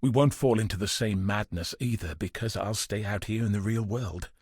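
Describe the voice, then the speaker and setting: An actor playing a weary, bitter but steadfast guard commander in a video game, speaking gravely and earnestly with a husky, raspy voice. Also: male voice, on the low side, moderate pace, a little mellow, no room sound, clean studio sound. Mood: determined, hopeful. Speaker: game character voice. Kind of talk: dramatic video game voice acting.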